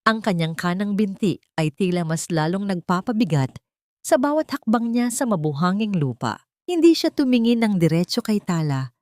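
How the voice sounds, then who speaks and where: A woman's voice: mid-range voice, sing-song, moderate pace, darkish tone, dry recording, clean studio sound. A woman narrating a scripted story in a dramatic storytelling style.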